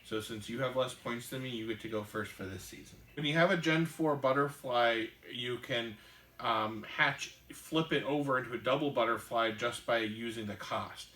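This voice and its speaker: A man hosting a casual board game show, explaining the rules in a halting way with many ums and restarts. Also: mid-range voice, bright, forceful tone, roomy sound.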